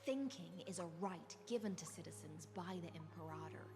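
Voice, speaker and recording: A cold, serene woman of the sea from a video game, played by an actress. She sounds poised and languid, with a soft menace and a teasing edge. Music plays clearly behind her. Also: lowish voice, normal speed, natural voice. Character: serene, cold female sea-born assassin, teasing and aloof. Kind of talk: video game character acting.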